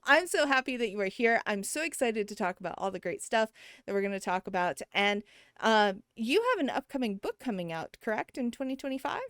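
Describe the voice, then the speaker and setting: A woman's voice: fairly high pitch, lively intonation, a little fast, a bit bright, no echo. Bubbly woman podcast host, dry sound. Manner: enthusiastic, bubbly, uses fillers like um. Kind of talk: casual podcast interview.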